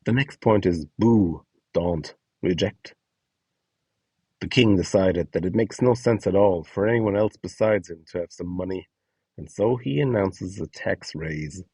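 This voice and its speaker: A man giving instructional narration in a measured, explanatory way with a light touch of whimsy. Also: low voice, mellow, husky, no room sound, rough recording. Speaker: presenter of a voice donation guide.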